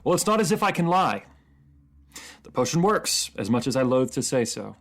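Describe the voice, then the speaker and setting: A man playing a proud, bitter captive ruler and former mercenary in a fantasy audio drama, speaking formally and sometimes haltingly. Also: mid-range voice, very animated. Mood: resentful, formal. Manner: halting, stammering when flustered, formal fantasy diction.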